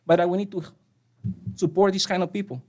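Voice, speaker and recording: Spanish accent guy at a council mic, kinda high voice, earnest, lots of restarts. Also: fairly even intonation, a little mellow. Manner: earnest, halting, with frequent restarts. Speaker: public commenter. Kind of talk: public comment at a city council meeting. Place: council chamber.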